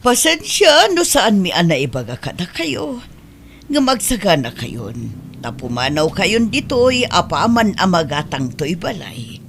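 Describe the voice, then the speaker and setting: An actress plays an older, well-to-do Filipino wife who runs her household with a commanding air. Her pitch moves animatedly as she speaks. The delivery is expressive comedy drama acting. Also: unhurried, slight background noise. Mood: bossy, firm. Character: well-off older married woman, bossy mistress of the house. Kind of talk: Ilocano comedy radio-style drama acting.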